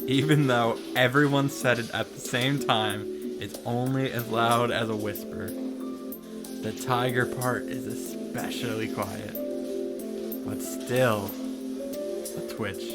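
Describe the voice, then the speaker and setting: A man's voice: medium pitch, steady tone, slow. A man hosting a casual game recap, joking along with wry, playful asides. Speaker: visual novel reaction and summary host. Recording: music under the commentary. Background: game music.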